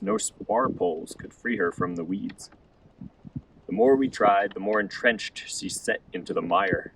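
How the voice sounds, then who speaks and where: A man with a gravelly voice reciting a rhymed sea ballad in a theatrical, measured storytelling manner. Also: lowish voice, far from the mic, very noisy.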